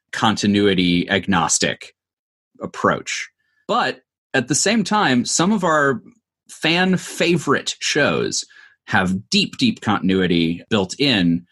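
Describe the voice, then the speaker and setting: A man's voice: lowish voice, fairly bright tone, slightly rough. A man giving thoughtful, conversational answers as an interview guest, explaining things with frequent asides. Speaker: interview guest, audio drama maker.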